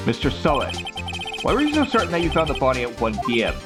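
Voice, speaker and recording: A woman voicing a stern, elderly male judge in a courtroom game, formal and deliberate. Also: very deep voice, huge pitch swings, over music, heavily acted. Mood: skeptical.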